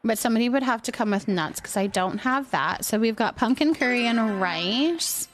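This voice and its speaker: Higher-pitched woman chatting on a game stream. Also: lively intonation, moderate pace, faint music behind. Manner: giggly, chatty. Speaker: game livestream host. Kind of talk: casual chat with viewers while playing a cooking game.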